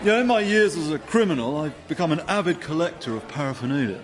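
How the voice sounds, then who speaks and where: An actor playing a fussy, polite crime boss in a sitcom, apologetic and rambling with genteel menace. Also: male voice, a little high, lively intonation, fast talker, lightly acted. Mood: suave, flustered. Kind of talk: studio sitcom acting.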